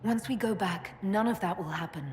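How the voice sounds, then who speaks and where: Actress as an earnest, echoing heroine. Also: female voice, medium pitch, normal speed, darkish tone, slight background noise, close to an everyday voice. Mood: determined. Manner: direct, earnest. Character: earnest, determined, caring female hero. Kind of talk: video game cutscene acting.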